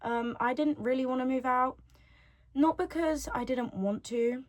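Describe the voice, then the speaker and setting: A woman's voice: high voice, a little slow. Rambling chatty young woman, eighteen. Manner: rambling and chatty, repeats her own phrases. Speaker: podcast host. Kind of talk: solo podcast monologue. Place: at home. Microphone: device mic, no podcast microphone.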